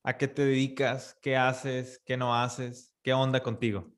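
A man's voice: medium pitch, little pitch movement, unhurried. Friendly podcast host man. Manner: friendly, laughs often. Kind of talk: casual chat.

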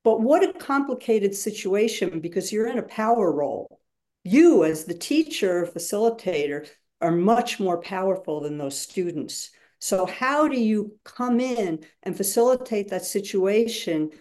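A woman's voice: mid-range voice, lively intonation, a little slow, darkish tone. A woman speaking as a guest facilitator in a webinar, explaining emphatically and acting out examples as she goes.

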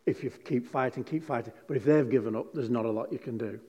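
Preacher in a reverberant hall. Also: male voice, medium pitch, fast talker, warm tone. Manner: chatty, jokey storytelling with emphatic scripture reading. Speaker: pastor. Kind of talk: sermon. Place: large hall with a congregation.

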